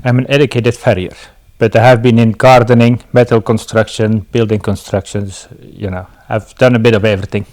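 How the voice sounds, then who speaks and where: A man's voice: on the low side, little pitch movement, fairly quick, few pauses, a little mellow. A middle-aged man from Belgium with a Belgian accent, giving casual answers as an interview guest.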